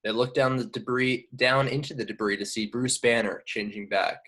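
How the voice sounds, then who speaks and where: A man's voice: medium pitch, steady tone, moderate pace, a bit bright, slightly rough. A man narrating scripted action descriptions at a table read. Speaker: stage direction narrator.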